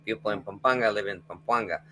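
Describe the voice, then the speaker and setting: A man's voice: fairly low pitch, fast talker, sharp tone. A man with a Filipino accent whose first language is Kapampangan, talking casually as an interview guest on a video call. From Philippines.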